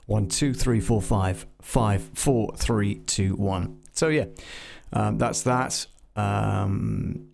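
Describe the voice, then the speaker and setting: Male audio tutor for a casual live demo: slightish low pitch, gravelly creak, halting think-aloud delivery. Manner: halting, thinking aloud, muttering test counts. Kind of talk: casual live software demonstration, troubleshooting aloud.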